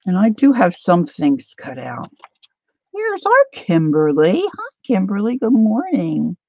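A woman hosting a casual crafting live stream, chatting softly and unhurriedly with viewers while she cuts paper at her craft table. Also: medium pitch, wildly swooping pitch, takes it slowly, dry recording, lo-fi sound. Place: indoor craft table, overhead camera.

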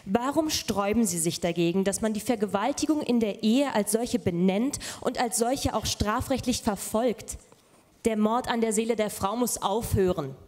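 A woman speaks indignantly and emphatically in an archival political clip. Her voice sits slightly high. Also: normal speed, darkish tone.

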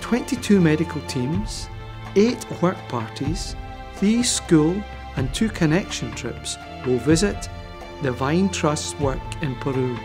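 Male voice-over, somewhat high pitch, measured script reading, music clearly underneath. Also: expressive. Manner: measured, informative.

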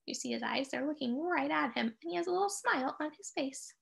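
A middle-aged woman reading a picture book aloud to young children, expressive and sing-song, pausing to put questions to her listeners. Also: sits high, vocal fry. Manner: expressive, sing-song, with drawn-out key phrases and dramatic gasps.

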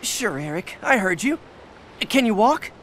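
An actor voicing a caring, anxious young penguin father in an earnest, encouraging way for an animated game. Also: high voice, a little slow, a little hiss or hum, full character voice. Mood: relieved, caring.

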